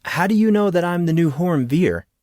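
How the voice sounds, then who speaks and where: A man plays an earnest teenage boy in an audiobook. He is self-conscious and hesitant, with awkward halting speech, recorded dry. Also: high voice, animated, darkish tone, dry recording, voice pushed up. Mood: awkward, curious. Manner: hesitant, stammering.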